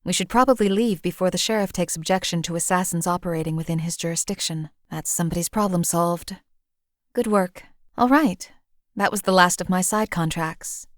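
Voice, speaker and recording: A woman's voice: lowish voice, brisk, dry recording, close to an everyday voice. A sardonic female assassin who was once a slave, as a woman voices her, cold and precise. She cuts people down in a dry, condescending way. The studio sound is dead dry and close. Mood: businesslike. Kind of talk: game companion voice lines.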